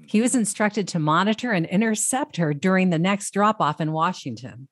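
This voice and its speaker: An actress in a radio play playing an earnest, businesslike government special agent. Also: female voice, fairly low pitch, animated, normal speed, close to an everyday voice. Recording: echoey room with clear background noise.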